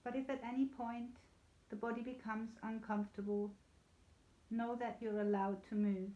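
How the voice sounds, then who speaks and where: A woman leading a guided yoga nidra meditation in a soothing, unhurried, gently reassuring way. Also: on the high side, little pitch movement. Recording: slightly dull, echoey room sound.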